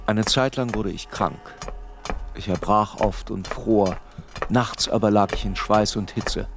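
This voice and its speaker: An actor playing a grown man who recalls his tormented boyhood in grave, measured literary narration for a radio play. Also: on the low side, fairly even intonation, over music. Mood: haunted, grave.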